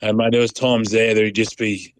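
A man's voice: lowish voice, little pitch movement, a little fast, no echo. A middle-aged Australian man chatting casually as an interview guest, in a laid-back, rambling, colloquial way. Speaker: interview guest, proud sporting dad. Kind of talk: casual phone-in chat.

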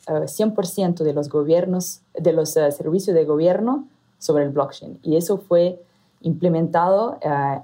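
A woman from Italy speaking with an Italian accent as a guest and company founder, talking in a halting way with many hesitations and restarts. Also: sits low, dark tone. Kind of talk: casual interview talk.

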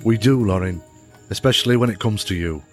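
A man acting in an audio drama as a gentle, worried father who soothes his young son tenderly and earnestly. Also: fairly low pitch, fairly quick, soft background music, close to an everyday voice.

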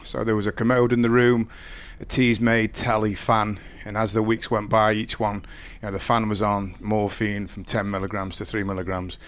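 A man in his forties with a deep voice, telling his life story slowly and earnestly in an interview, often restarting his sentences. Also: little pitch movement, a bit bright. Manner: slow, halting, earnest storytelling with many restarts. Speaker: interview guest. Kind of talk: candid personal interview.

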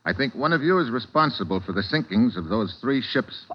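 An actor playing a tough, wry American investigator in a 1940s radio drama, speaking in a blunt, commanding way. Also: male voice, medium pitch, lively intonation, a little fast, close to an everyday voice. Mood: cool, accusing. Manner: blunt, hard-boiled, commanding. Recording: dull, lo-fi old radio recording.

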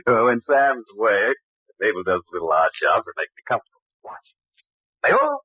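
A breezy, evasive gentleman in a vintage radio mystery. His husky voice moves expressively up and down, with a glib delivery. The sound is dry and muffled, an old broadcast. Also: lowish voice, wildly swooping pitch, moderate pace, no echo, heavily acted. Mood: wry, amused.

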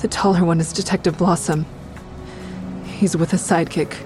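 An actress playing a frightened woman who tells her own ordeal in an audio drama, with tense, measured storytelling. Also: on the low side, steady tone, natural voice. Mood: tense narration. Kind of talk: dramatic first-person narration and dialogue. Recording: music behind the voice.

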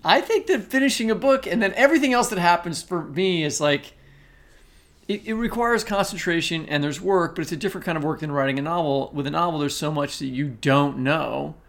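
A man's voice: fairly high pitch, brisk, fairly bright tone. A man chatting and bantering casually as a podcast co-host.

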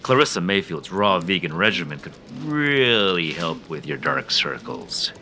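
An actor playing a smug, preachy tech office boss in an audio drama, condescending with false friendliness. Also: male voice, low voice, sing-song, measured pace, bright, forceful tone, slight background noise, heavily acted. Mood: faux concern. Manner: condescending, faux-friendly, sloganeering.

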